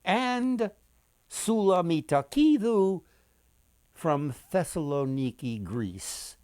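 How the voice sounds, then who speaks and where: An older man reading a children's story expressively, with dramatic pauses, addressing his listeners directly. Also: on the high side, very animated, darkish tone, no echo, studio quality. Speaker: author and storyteller.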